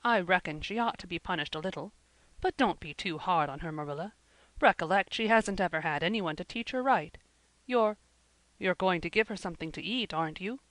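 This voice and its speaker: A woman voicing a gentle, shy older farmer man in an audiobook, speaking hesitantly and uneasily. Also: fairly low pitch, expressive, a little fast, no room sound, poor audio. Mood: uneasy.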